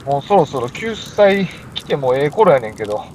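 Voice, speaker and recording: A man's voice: on the high side, big pitch swings, normal speed, darkish tone. A man with a Kansai accent playing an anxious, weary stranded climber in an amateur comic radio drama, earnest and exclamatory. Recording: noisy amateur recording.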